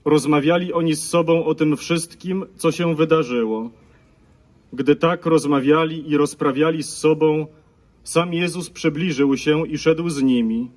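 A priest reads scripture at an outdoor altar, his voice pitched a little high. He keeps an even, measured pace through the passage. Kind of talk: reading scripture aloud at a service. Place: outdoor altar in a town park.

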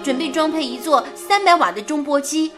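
Teasing sharp-tongued woman in a radio play, high voice, music underneath. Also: animated, fairly quick. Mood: concerned. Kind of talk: radio drama acting.

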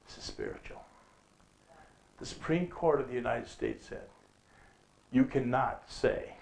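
A man's voice: medium pitch, vocal fry. Emphatic middle-aged man preaching in a hall. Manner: emphatic, rising to near-shouts when quoting scripture, rhetorical questions. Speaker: conference speaker. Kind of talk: preaching at a conference. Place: stage with a lectern in a hall. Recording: echoey hall.

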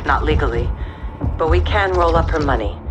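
Shrewd intelligence officer: clipped, composed game briefing voice over loud score. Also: female voice, low-pitched, animated, normal speed. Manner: clipped, matter-of-fact briefing. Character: shrewd, composed female intelligence officer running covert military operations. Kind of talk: military game cutscene acting. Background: loud dramatic music.

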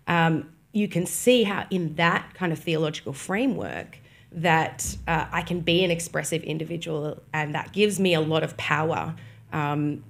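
Low, gravelly-voiced young woman guest. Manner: measured, explanatory, many "um" pauses. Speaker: guest on church music. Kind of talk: thoughtful interview answers. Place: studio set with armchairs. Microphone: boom-mounted podcast mic.

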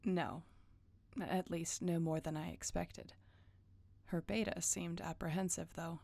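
Woman playing a low, gravelly female enforcer. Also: fairly even intonation, a little slow, darkish tone, no echo. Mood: businesslike. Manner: controlled, curt, businesslike. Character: cool dutiful female enforcer of a werewolf alpha. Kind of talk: audio roleplay drama acting.

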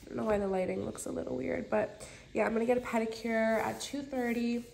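Raspy congested young woman vlogging. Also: fairly high pitch, fairly even intonation, a little fast, darkish tone, low-quality recording. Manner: bubbly, gushing, many fillers like "yeah" and "like". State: congested, stuffy nose. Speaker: lifestyle vlogger. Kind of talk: casual chatty vlog to camera.

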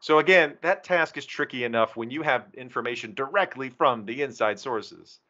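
A man's voice: mid-range voice, moderate pace, sharp tone. A man giving a conversational, explanatory slide walkthrough as a presenter, with emphatic phrasing, over a video call. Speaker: presenter from a software documentation team. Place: online video meeting. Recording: dull, compressed video call audio.

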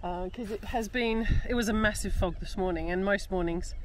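A middle-aged woman with an Australian accent talks in a casual, upbeat vlog style. Her pitch is average for a woman. She is recorded outdoors on a camera, with clear ambient noise behind her. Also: moderate pace, low-quality recording. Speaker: solo canoe expedition vlogger. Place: outdoors on a river and riverside campsite.

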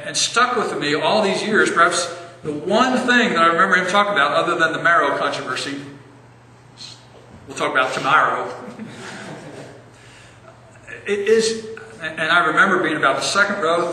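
A man in his forties preaching an earnest sermon as pastor, slowing down to stress key phrases, recorded in a church sanctuary. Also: high voice, bright, forceful tone. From American. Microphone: stand mic a step away, filmed from far camera. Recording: echoey church hall, distant mic.

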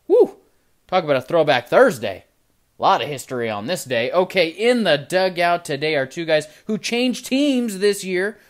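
A man hosting a casual card-opening video at his desk, chatting excitedly, then trailing off quietly as he looks over each card. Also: on the high side. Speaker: trading card channel host. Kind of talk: casual pack-opening commentary. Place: indoor desk with card display. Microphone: camera over the desk, hands only in frame.

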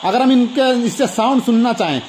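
Indian guy doing excited toy unboxing, high voice, talks really fast. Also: noisy background. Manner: enthusiastic, repetitive, addressing viewers directly. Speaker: toy review channel host.

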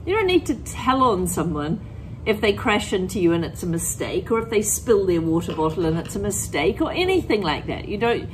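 A woman's voice: mid-range voice, slight background noise, low-quality recording. A middle-aged woman teacher explaining things to children in plain words and reading aloud expressively from a picture book. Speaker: primary school teacher.

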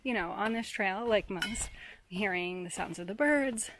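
A woman's voice: medium pitch, moderate pace, fairly bright tone, slight background noise, poor audio. Chatty young woman vlogging on a trail. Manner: chatty, upbeat, addresses viewers directly. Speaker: hiking vlogger. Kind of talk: casual vlog narration to camera. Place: outdoors on a forest mountain trail. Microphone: small handheld camera mic.

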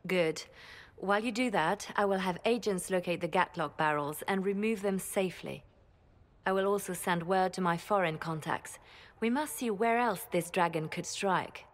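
An actress voicing a poised, calm woman spymaster and former bard in a video game, speaking in a measured, softly formal way. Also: fairly low pitch, lightly acted.

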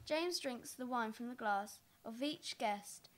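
A woman's voice: on the high side, expressive, slow, heavy background noise. A young woman reading stage directions as scripted narration for a play. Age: young adult.

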